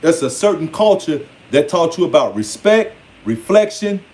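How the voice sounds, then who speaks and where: A man in his forties with an American accent and a high voice speaks informally to his followers. His delivery is streetwise and emphatic, rambling from thought to thought and often slipping into quoted asides. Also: big pitch swings, normal speed, darkish tone. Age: 40s. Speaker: online speaker. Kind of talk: informal spoken monologue to followers.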